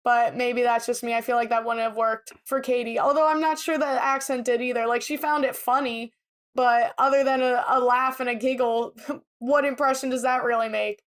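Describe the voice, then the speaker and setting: A woman's voice: on the high side, steady tone, moderate pace. A woman co-hosting a podcast, chatting casually and giving her opinions freely. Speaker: podcast co-host. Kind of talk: casual chat, reality TV recap.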